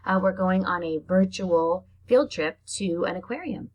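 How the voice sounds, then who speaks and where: A woman's voice: fairly low pitch, unhurried, muffled recording. A woman teacher reading aloud to young children, explaining things simply in chatty asides between carefully read sentences. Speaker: elementary teacher reading to pupils.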